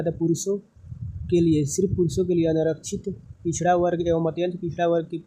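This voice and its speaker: A man presenting an explainer, reading official text aloud haltingly and repeating points in informal paraphrase. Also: a little high, dark tone, rough recording. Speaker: presenter explaining a recruitment notice.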